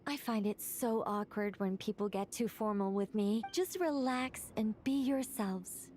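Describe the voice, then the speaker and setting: A woman's voice: mid-range voice, unhurried, a little mellow, a little hiss or hum, lightly acted. A woman playing an ancient angel in a video game, serene, playful and informal, telling things with teasing composure.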